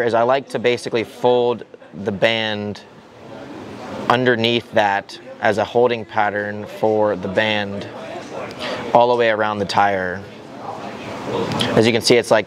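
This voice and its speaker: A young man explains a hands-on job at an easy, halting pace, restarting his phrases and filling gaps with ums. He sits in a large indoor hall, and a steady murmur of people talking carries clearly behind his voice. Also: lowish voice. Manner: loose, filler-heavy explaining with many restarts. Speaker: RC racer demonstrating tire prep. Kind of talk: casual how-to demonstration. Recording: voice over crowd chatter in a big hall. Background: crowd chatter in the hall.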